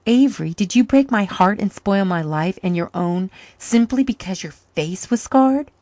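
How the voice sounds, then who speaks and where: A woman's voice: on the low side, fairly even intonation, normal speed, mellow, no echo, natural voice. A grave doctor, stern and earnest yet tender underneath, as read by a woman narrator. His lines are lifted only a little above her usual reading voice. The sound is close and dry. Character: grave doctor uncle, a grown man, stern but tender.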